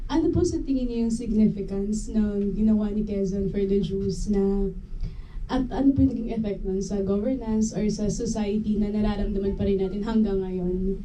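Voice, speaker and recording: A woman's voice: medium pitch, fairly even intonation, brisk, mellow. A woman with a Filipino accent respectfully asking a question in mixed Tagalog and English. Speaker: audience questioner. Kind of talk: polite question in Taglish. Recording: noisy, distant microphone with room ambience.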